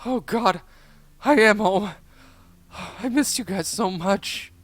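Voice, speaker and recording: A man's voice: high voice, animated, slow pace, dry recording, pitched above the performer's own voice. A man voicing a sensitive, earnest young male cartoon pup who speaks in an emotional, stammering way. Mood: surprised, relieved.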